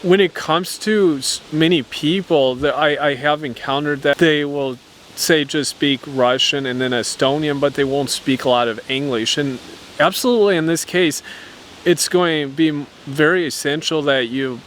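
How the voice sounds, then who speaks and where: A man's voice: on the high side, moderate pace, fairly bright tone, slight background noise. A man giving his opinion in an outdoor vlog, talking earnestly and reasonably, often restarting and repeating words as he thinks. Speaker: vlogger. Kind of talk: opinion monologue to camera.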